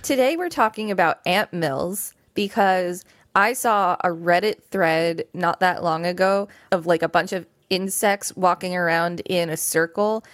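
A woman's voice: on the low side, dry recording, clean studio sound. A woman co-hosting a podcast, reading an encyclopedia article aloud and adding casual commentary. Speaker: podcast co-host. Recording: music behind the voice. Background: music.

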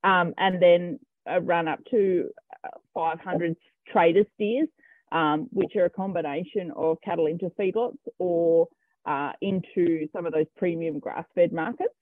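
High-voiced Australian man on a tinny line. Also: fairly even intonation, unhurried, dry recording. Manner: hesitant, full of ums and restarts. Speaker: cattle farmer guest. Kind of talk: casual interview answers. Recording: tinny phone-line sound, dry.

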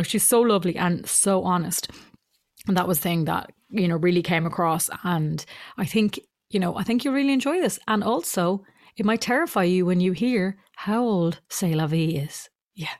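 A woman's voice: on the low side, no echo, clean studio sound. A woman with an Irish accent, a mix of Midlands and Dublin, hosting her podcast in a chatty, rambling way with frequent laughs. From Ireland. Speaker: podcast host. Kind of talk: casual podcast intro.

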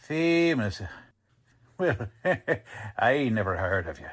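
Man as a gruff, slow-drawling wisecracking police lieutenant. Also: fairly low pitch, sing-song, full character voice. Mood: sarcastic, questioning. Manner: blunt, mocking, slangy. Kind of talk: 1940s radio drama acting.

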